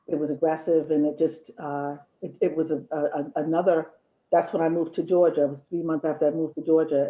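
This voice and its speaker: A woman in her fifties, a guest and the host's mother, chatting candidly and jokingly with reflective stretches. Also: sits low. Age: 50s. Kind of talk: casual chat. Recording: tinny phone-like line.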